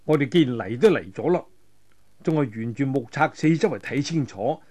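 A man voicing a watchful, resolute martial-arts hero in a told adventure story, speaking in a measured, advising way. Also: mid-range voice, animated, a little mellow, pitched below the performer's own voice, natural voice. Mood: resolute, hushed.

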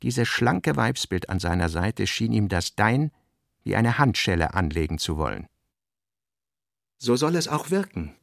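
Guy narrating literary radio piece, deliberate, gravelly voice, very dry close sound. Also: on the low side, sing-song, dry recording.

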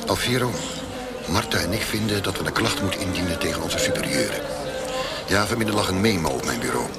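An earnest, needling interrogator in a radio drama. He argues insistently in a fairly low voice at a brisk clip, over loud music. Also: lively intonation, creaky. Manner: reasoning, insistent.

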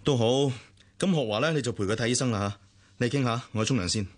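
A man's voice: mid-range voice, fast, close to an everyday voice. A man with a Hong Kong Cantonese accent acting a protective but detached elder brother in a radio drama, admonishing and matter-of-fact. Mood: concerned, admonishing.

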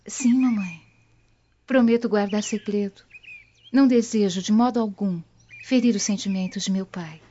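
An actress playing a devout, gentle young noblewoman of Rome, earnest and reverent, in a radio drama. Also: mid-range voice, normal speed, natural voice. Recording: muffled lo-fi audio with music behind.

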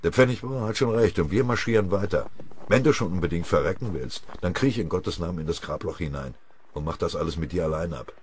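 A man voicing a rough, blunt soldier who threatens a comrade and then gruffly makes peace. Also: a bass voice, animated, fast talker, slight background noise. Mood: threatening. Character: rough, blunt male soldier with an iron bar.

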